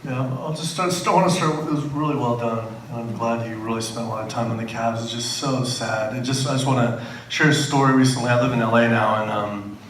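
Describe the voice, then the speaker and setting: A man's voice: medium pitch, quick pace, a bit bright, a little hiss or hum. A man on a festival panel telling a long story in a rambling way, with frequent restarts and side remarks. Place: festival screening venue. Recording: echoey room, distant mic.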